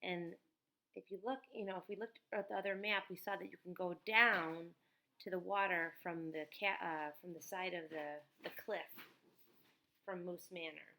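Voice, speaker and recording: A woman's voice: on the low side, fairly even intonation, moderate pace, bright, forceful tone. A woman reads a children's story aloud. She moves between narration and casual explanatory asides, like a teacher with a class book.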